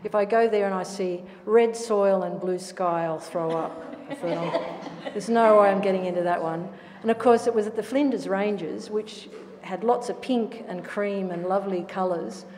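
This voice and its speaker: A woman's voice: medium pitch, normal speed, hardly any pauses, a little mellow. A middle-aged woman, a painter, speaking reflectively and self-deprecatingly on a gallery panel. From Australia. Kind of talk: panel discussion answer. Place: art gallery room. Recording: reverberant hall with faint noise.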